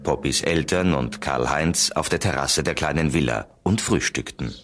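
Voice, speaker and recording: A man narrating a children's radio play as a calm storyteller, reading scripted prose. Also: very deep voice, moderate pace, exaggerated voice. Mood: calm storytelling.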